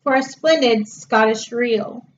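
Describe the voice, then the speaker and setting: Slow, high-voiced woman storyteller. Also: muffled recording, slight background noise. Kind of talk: expressive children's storybook reading.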